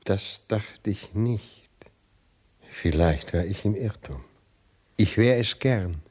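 An educated, courteous inspector in a radio drama. His is formal and measured, spoken slowly in a husky, fairly low voice as he probes. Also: a little mellow, exaggerated voice. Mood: conciliatory. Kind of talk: radio play acting in formal verse German. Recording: 1970s radio drama studio recording.

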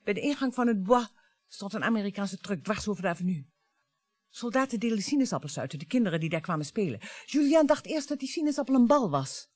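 An actress playing a cool, cutting French bourgeois wife and regretful mother in a radio play, with pointed, deliberate phrasing. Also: mid-range voice, lively intonation, darkish tone, no echo, soft background music, close to an everyday voice. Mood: reproachful.

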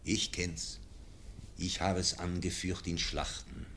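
A man's voice: sits low, little pitch movement, slow pace, slight background noise, heavily acted. An actor playing an old, dying nobleman who solemnly pleads with his nephew, in a classic radio play. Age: older. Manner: solemn, pleading, swings from loud to hushed.

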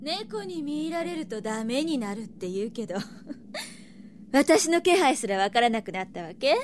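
An imperious succubus in an audio drama. Her voice sits a bit high. She is sultry and taunting, with a cool, jealous pride. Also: very animated, moderate pace, slight background noise. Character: proud, seductive adult succubus noblewoman, jealous and imperious. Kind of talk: Japanese audio drama acting.

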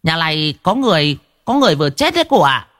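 A man's voice: a little high, sing-song, quick pace, a bit bright, no echo, studio quality, pitched above the performer's own voice. A man voicing a nosy village woman who gossips eagerly.

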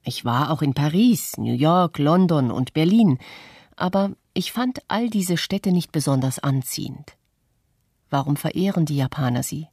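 A contemplative woman who loves the countryside read by an actress in a radio play. Her voice is low and husky, soft-edged and mellow, and she speaks in a measured, reflective way. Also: animated, dry recording, studio quality, exaggerated voice. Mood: reflective, content. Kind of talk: scripted radio-play monologue, read testimony.

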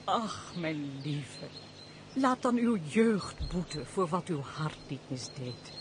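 An actress playing a compassionate married lady in a radio drama, expressive and earnest in a theatrical way. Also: low-pitched, takes it slowly, a little hiss or hum. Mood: pitying.

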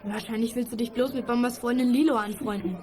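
An actress playing a pert, sharp-tongued teenage schoolgirl in a youth audio drama, teasing and scornful. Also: a little high, steady tone, fast talker, natural voice.

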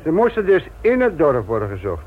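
An actor playing a gruff, sardonic senior police detective lecturing trainees in a radio play. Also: male voice, fairly high pitch, huge pitch swings, a little fast, full character voice. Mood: wry, narrating. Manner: sarcastic, authoritative, lecturing. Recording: muffled, noisy old radio play recording.

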